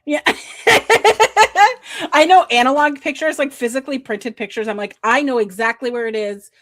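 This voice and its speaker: A woman with a New Jersey accent hosting a casual podcast over a video call, enthusiastic and quick to laugh. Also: a little high, fairly even intonation. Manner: enthusiastic, laughing, slow and drawn-out. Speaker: podcast host. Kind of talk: casual chat.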